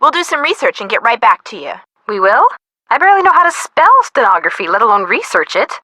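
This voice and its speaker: A peppy young female sidekick in a mystery game. Her high, bright voice swings expressively through sassy, teasing banter. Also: very animated, quick pace, no room sound, heavily acted. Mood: sassy, curious. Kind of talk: scripted mystery game voice acting.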